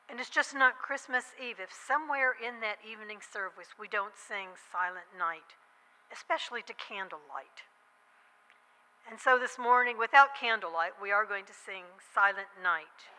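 Bright-voiced woman speaking in an echoing church. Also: medium pitch. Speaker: church speaker introducing a hymn. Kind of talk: storytelling church talk to a congregation. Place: large reverberant church sanctuary. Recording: long echoey hall reverb.

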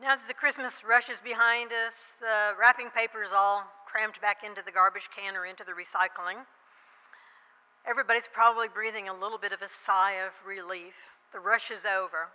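A woman's voice: mid-range voice, fairly even intonation, a little fast, bright, forceful tone. A woman pastor telling a story in a chatty sermon, breaking in with self-deprecating asides. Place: church sanctuary. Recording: long reverb of a church hall.

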